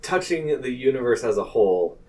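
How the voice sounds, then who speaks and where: A man running a tabletop roleplaying game as game master, improvising narration in a deliberate, halting way. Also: medium pitch, very animated, mellow, far from the mic, slight background noise, low-quality recording.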